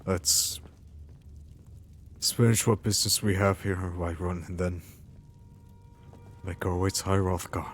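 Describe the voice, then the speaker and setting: A man's voice: low voice, steady tone, measured pace, pauses often, faint music behind. A man playing a humble, earnest warrior hero in a fantasy game roleplay, speaking hesitantly and sincerely. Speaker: roleplay player.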